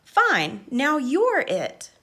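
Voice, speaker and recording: A woman's voice: sits high, huge pitch swings, drawn-out words, pushed and bright, pitched above the performer's own voice, exaggerated voice. A woman voicing a blunt, bossy young girl in a storybook, barking impatiently. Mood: scornful.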